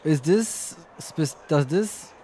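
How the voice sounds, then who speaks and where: A man's voice: on the high side, animated, extremely slow, mellow. A man streaming a video game, musing aloud and talking back to the characters in a casual, chatty way.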